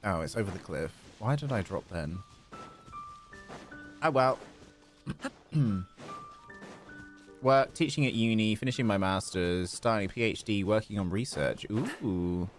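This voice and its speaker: A man's voice: on the low side, lively intonation, measured pace, long pauses, soft background music. A man livestreaming a video game, chatting casually and distractedly to viewers between reactions to play. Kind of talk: casual chat while playing a video game.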